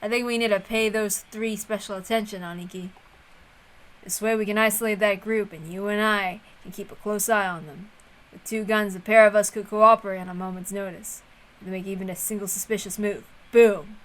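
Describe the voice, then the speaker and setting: A woman's voice: medium pitch, steady tone, a little fast, natural voice, rough recording. A woman reading the part of a blunt, suspicious middle-aged younger brother in a visual novel. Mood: firm, urgent.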